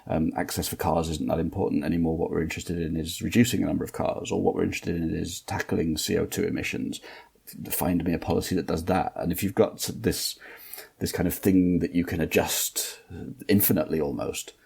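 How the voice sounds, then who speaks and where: A man from Manchester with a northern English accent, explaining transport policy as a podcast guest, steady and explanatory with frequent fillers. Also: low-pitched, brisk, gravelly, breathy edge. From Manchester, northern England.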